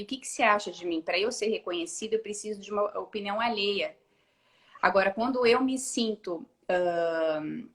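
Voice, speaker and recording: A woman talking as a guest in a live-streamed video call, thinking aloud with frequent hesitations and restarts. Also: mid-range voice. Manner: reflective, many hesitations and restarts. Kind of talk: casual live-stream conversation.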